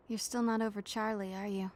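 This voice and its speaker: An actress playing a wry, sharp female investigator in a video game, with a cool, direct delivery. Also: mid-range voice, natural voice. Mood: teasing, knowing. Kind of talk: English video game dub dialogue and narration.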